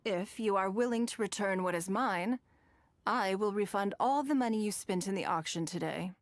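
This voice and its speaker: A woman playing a shrewd, wealthy, coolly commanding businesswoman in a video game, speaking in measured, eloquent, formal phrases. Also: mid-range voice, a little hiss or hum, close to an everyday voice. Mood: coolly confrontational.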